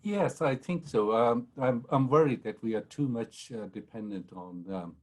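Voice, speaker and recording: A man's voice: medium pitch, moderate pace. A man, a historian on a panel, telling rambling anecdotes full of ums and restarts. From Pakistan. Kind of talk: anecdotal storytelling in a panel talk.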